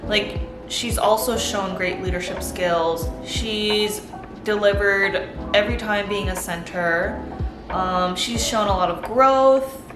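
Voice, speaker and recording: A woman's voice: medium pitch, slow pace. A young woman vlogger chatting casually and enthusiastically, peppering her talk with "like". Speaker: vlogger reviewing a TV show. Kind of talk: casual chat. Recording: roomy echo with background music. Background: soft background music.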